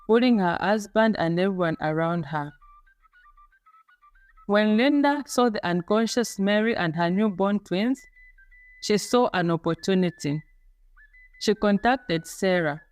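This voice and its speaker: Woman, medium pitch, storyteller, scripted folktale narration, measured dramatic delivery, music underneath. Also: a little mellow. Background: background music.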